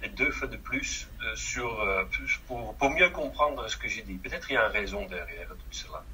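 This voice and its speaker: A man's voice: on the low side, animated, normal speed, bright, forceful tone, recorded from a distance, a little hiss or hum, rough recording. A man from the Netherlands, Dutch-accented and not speaking his native language, talking as a guest on a radio interview, often hesitating and searching for words. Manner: hesitant, searching for French words with many fillers. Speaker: guest medium.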